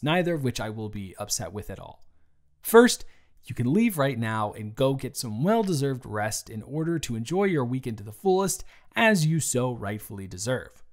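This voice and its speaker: A man's voice: medium pitch, natural voice. A man playing a fond, chatty office boss in his early thirties in a romantic audio roleplay, speaking earnestly and playfully straight to the listener. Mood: earnest, considerate. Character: warm, earnest thirty-three-year-old male office boss, fond and encouraging. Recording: background music. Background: music.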